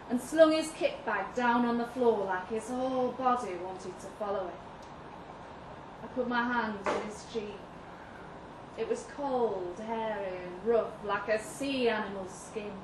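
A woman giving an expressive, measured first-person reading of a literary short story at a live event. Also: a little high. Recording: roomy live venue, distant mic, noisy.

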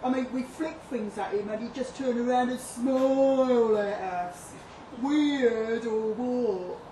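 Cheeky, blunt young woman as a female reader plays her, high-ish, slangy, distant mic. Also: takes it slowly, mellow. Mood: brash, amused. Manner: slangy, brash, casual. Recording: distant echoey live venue, noisy room.

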